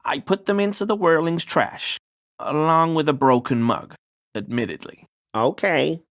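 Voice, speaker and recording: A man's voice: on the high side, a little slow, fairly bright tone. A male actor playing a nervous, apologetic townsman in a video game, pleading and hesitant as he answers to the police. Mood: defensive, explaining. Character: nervous, grovelling small-time bigoted townsman under police questioning. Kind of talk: scripted video game character dialogue.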